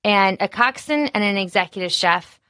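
A woman who is an executive chef, talking casually in an interview with a naturally loud voice and an animated, candid manner full of fillers. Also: mid-range voice, moderate pace, no room sound, studio quality.

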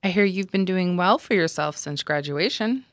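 A gentle, teasing old professor talks slowly to a former student, his pitch swinging freely as he jokes, as a woman narrator puts him on. The sound is close and dry, with no room around it. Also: lively intonation, no echo. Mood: fond. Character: older male professor, kindly and teasing.

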